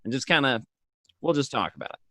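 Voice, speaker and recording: A man's voice: mid-range voice, lively intonation, fast, fairly bright tone, no echo, studio quality. A man hosting a cast question-and-answer panel on a video call, speaking conversationally and courteously. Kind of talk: conversational interviewing. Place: indoors on a group video call.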